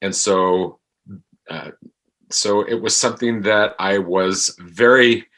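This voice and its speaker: A man speaking as an interview guest, reflective and halting as he searches for his words. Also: fairly low pitch, fairly even intonation, a bit bright. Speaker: podcast guest, actor.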